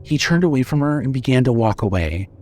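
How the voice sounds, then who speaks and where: A male narrator reads scripted horror fiction in a dramatic style. His voice sits at a medium pitch. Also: lively intonation, fairly quick, a little mellow, soft background music.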